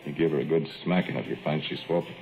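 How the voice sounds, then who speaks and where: A man's voice: rumbling low, steady tone, quick pace. An actor playing a talkative, teasing small-town man with a folksy rural way of speaking, in an old radio drama. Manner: rural dialect, needling and playful. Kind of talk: 1940s radio acting. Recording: tinny old radio broadcast, faint music.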